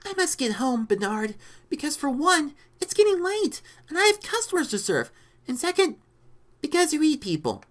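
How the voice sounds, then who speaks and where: A woman puts on a weary storybook heroine. Her voice is pitched far up and lively, with a blunt, exasperated, grumbling manner. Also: normal speed. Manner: exasperated, complaining. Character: tired, beautiful woman traveler, grumbly and blunt.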